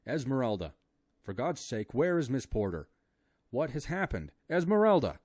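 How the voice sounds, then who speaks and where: A man voicing an urgent young gentleman who shouts commands. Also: medium pitch, big pitch swings, normal speed, husky, voice pushed up. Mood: frantic.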